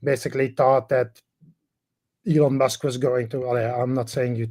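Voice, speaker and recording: A middle-aged Belgian man with a Flemish accent, speaking English as a second language with Dutch as his mother tongue. He calls in to a chat and talks in a halting way, full of restarts and fillers, with an ordinary middle-pitched voice. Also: little pitch movement, a little mellow. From Belgium, Dutch-speaking part. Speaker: caller. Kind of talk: casual call-in chat.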